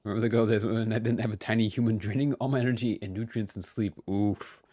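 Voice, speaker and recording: A man's voice: lowish voice, steady tone, quick pace, dark tone, no echo. A man doing casual live commentary as a game streamer, chatty and playful. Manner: playful, chatty, mild joke swearing. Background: game music.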